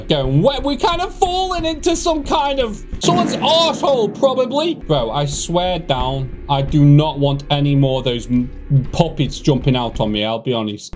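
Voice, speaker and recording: High-pitched, excitable man playing horror games. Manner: excitable, panicky, repeats phrases. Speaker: gaming video creator. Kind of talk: horror game playthrough commentary. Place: indoor home gaming room. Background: loud game music.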